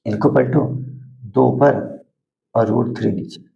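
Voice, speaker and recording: A hoarse man teaching math to school students at a board, repeating steps and asking questions that he answers himself. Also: medium pitch, fairly even intonation, takes it slowly, dark tone, highs cut off. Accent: Hindi.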